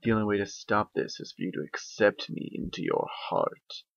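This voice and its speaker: A man voicing a shadowy dark entity that speaks slowly and quietly with cold menace. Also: vocal fry, no room sound. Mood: menacing. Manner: slow, low, hushed menace. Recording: dull lo-fi sound.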